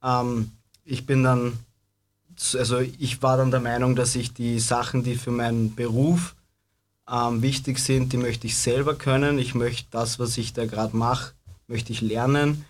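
Even-toned man in discussion. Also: medium pitch, a little slow. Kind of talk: reflective spoken discussion.

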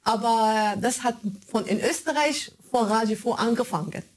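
Arabic-accented woman, halting interview speech. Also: a little high. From born in Germany, raised in Kuwait and Egypt. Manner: halting, searching for words in non-native German. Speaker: radio show maker being interviewed. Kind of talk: conversational interview answers.

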